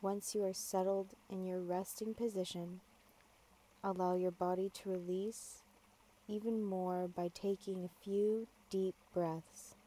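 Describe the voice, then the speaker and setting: A woman guiding a yoga nidra meditation, giving calm, measured, gentle instructions with long pauses. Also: medium pitch, rough recording. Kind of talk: guided meditation, slow soothing instruction.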